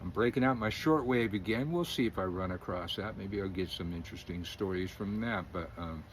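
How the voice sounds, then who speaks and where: A man's voice: deep voice, brisk, a little hiss or hum. A middle-aged man giving rambling, opinionated political commentary, full of fillers and chuckles. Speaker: independent news commentator.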